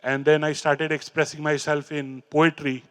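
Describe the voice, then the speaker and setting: A middle-aged man with an Indian English accent, speaking on stage into a handheld mic, telling his recovery story in an earnest, halting way. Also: on the high side, little pitch movement, a bit bright, clean tone. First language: Hindi or Urdu. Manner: earnest, halting, with fillers and restarts. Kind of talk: personal talk on stage, reciting poems. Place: large stage in a hall. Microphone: handheld microphone.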